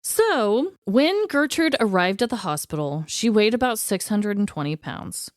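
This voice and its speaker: Lively American woman chatting casually. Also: medium pitch, measured pace, no echo, clean studio sound. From United States. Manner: chatty, informal. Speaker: podcast co-host. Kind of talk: casual conversational storytelling.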